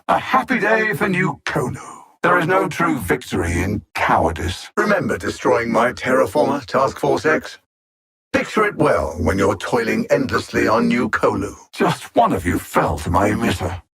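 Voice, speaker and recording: A cold alien supervillain from a video game, gravelly and husky at a middle pitch. The intonation rises and falls widely, with deliberate, scornful phrasing. Every phrase carries condescension and menace. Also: male voice, fairly bright tone, heavily acted. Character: cold, arrogant alien supervillain machine intellect scorning Earthlings. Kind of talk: scripted video game villain dialogue.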